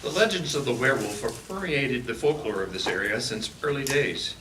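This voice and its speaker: A man's voice: mid-range voice, expressive, brisk, noisy background, natural voice. A man acting in a live radio play as a pedantic scholar who lectures wordily, heard from a distant mic on an echoey stage. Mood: lecturing. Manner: pedantic, wordy. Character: scholarly male expert, pedantic lecturer of lore.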